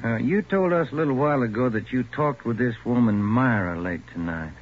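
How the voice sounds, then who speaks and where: An actor playing a wry, steady police detective in a 1940s radio crime drama, speaking in a dry, laconic way. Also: male voice, medium pitch, a little fast, natural voice. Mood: weary, firm. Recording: dull old radio recording, music.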